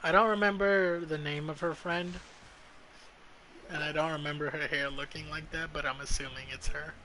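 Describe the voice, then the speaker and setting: A woman's voice: deep voice, steady tone, sharp tone, slight background noise, poor audio. A young woman doing casual, slangy, blunt commentary as she plays through a game. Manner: slangy, blunt, quick to react and swear. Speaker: streamer reacting to a game.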